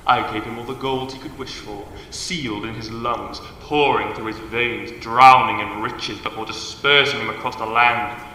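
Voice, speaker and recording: A malevolent devil played by a man speaks in a cavernous, echoing space with long reverb. He is slow, theatrical and cruel, savouring his menace over a noisy background. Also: mid-range voice, fairly even intonation, pushed and bright, lightly acted. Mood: gloating menace. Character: malevolent male devil bound to a bridge, gloating and cruel. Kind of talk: fan audio drama monologue.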